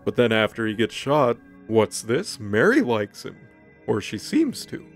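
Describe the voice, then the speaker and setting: A man co-hosting a casual comedic story recap, narrating playfully with a creaky voice and slipping into dialogue. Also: fairly low pitch, big pitch swings, a little slow, soft background music.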